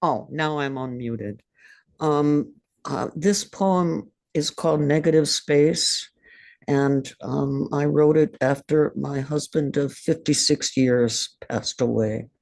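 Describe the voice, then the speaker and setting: An older woman reading her own poem over a video call, soft and halting. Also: low voice, creaky, dry recording. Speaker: poet reading her work. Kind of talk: poetry reading and casual chat.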